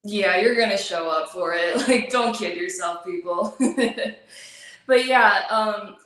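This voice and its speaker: Echoey, talkative woman guest, a bit hoarse. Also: medium pitch, takes it slowly, fairly bright tone. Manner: talkative, filler-heavy, laughs easily. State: losing her voice. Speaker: actress guest. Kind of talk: casual interview chat. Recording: very echoey room.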